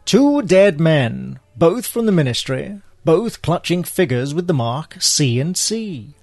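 A droll spy played by a man in a radio play, unflappable and wry. His voice is slightly husky and swings widely in pitch, while his wit stays deadpan. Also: slow, no echo, studio quality, soft background music, full character voice. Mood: brisk, thoughtful. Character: droll, unflappable male British spy, dryly witty. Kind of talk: scripted radio theatre acting.

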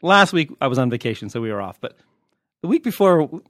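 A man's voice: medium pitch, sing-song, fast, a bit bright, no room sound. Wry American man chatting on a dry-sounding podcast. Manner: wry, joking. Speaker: podcast host and TV critic. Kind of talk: casual, unscripted podcast chat answering listener questions.

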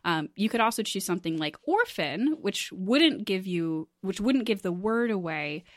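A woman's voice: medium pitch, animated, normal speed, no room sound, studio quality. A woman hosting a casual panel game, chatty and jokey, often laughing as she explains and runs things. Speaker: game show host.

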